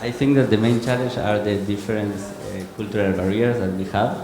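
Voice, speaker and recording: A man sharing his view at a workshop, earnest and slightly halting. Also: lowish voice, steady tone, background noise. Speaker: workshop participant. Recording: echoey hall with crowd murmur.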